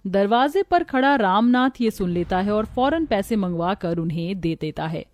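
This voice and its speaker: A woman narrating a children's cartoon story in a gentle, storytelling way. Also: mid-range voice, animated, normal speed, dull audio.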